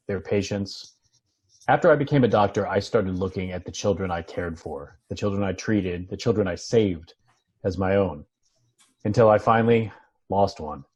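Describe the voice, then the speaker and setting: A man's voice: low voice, darkish tone. A man reading the part of a brooding, protective, hard-drinking former doctor in his late thirties at a screenplay table read. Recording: dull lo-fi video call audio.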